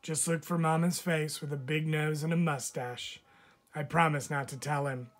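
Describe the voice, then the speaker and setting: A man, a teacher, reads a picture book of letters aloud with expressive, measured phrasing. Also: fairly high pitch, soft background music. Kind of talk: storybook read-aloud of letters.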